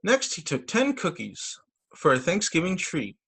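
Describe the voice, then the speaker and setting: A man reading children's picture books aloud as a story time teacher, in an expressive, sing-song storytelling manner with short asides, over a video call. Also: fairly high pitch, unhurried, gravelly, slightly rough.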